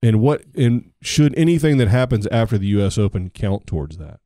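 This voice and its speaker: A middle-aged man from the United States trading jokes as a podcast co-host, his talk sarcastic and wandering off on tangents. His voice sits a bit low and sounds dark and mellow, in a dry, close setting with no room echo. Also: very animated, brisk. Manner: joking, sarcastic, rambling. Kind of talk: casual chat.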